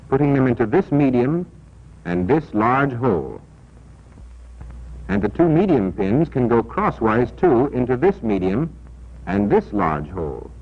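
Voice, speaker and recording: An American man narrating a 1940s military training film in a scripted, deliberate, instructional and emphatic manner, on an old film soundtrack. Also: medium pitch. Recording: dull, band-limited 1940s film soundtrack.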